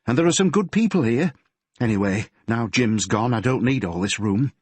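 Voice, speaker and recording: A man voicing a grieving middle-aged mother with a Yorkshire accent, who talks in a gentle, fretful and homely way. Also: mid-range voice, expressive, moderate pace, slightly rough, dry recording. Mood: anxious, fretful. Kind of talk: audiobook character voice.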